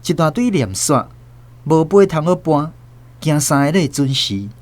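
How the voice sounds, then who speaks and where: Male narrator for radio fiction, Taiwanese accent, animated swooping delivery, slightly high register, dramatic scripted read. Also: a little slow.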